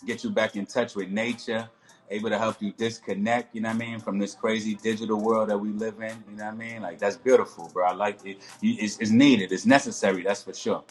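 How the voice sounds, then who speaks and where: A man's voice: on the low side, flat delivery, fairly quick, soft background music. A man hosting a casual podcast interview over a video call, laid-back and full of slang, often tagging "you know what I mean.". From New York. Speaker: podcast host.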